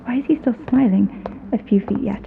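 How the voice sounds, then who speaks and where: A woman's voice: on the high side, fairly quick, creaky, narrow, tinny audio. A woman playing an anxious, self-doubting young woman on an awkward first date, muttering her fretful thoughts in an audio drama. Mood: nervous. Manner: fretful, muttering, swearing to herself. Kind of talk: inner monologue in an audio drama. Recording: band-limited, thin, busy crowd noise.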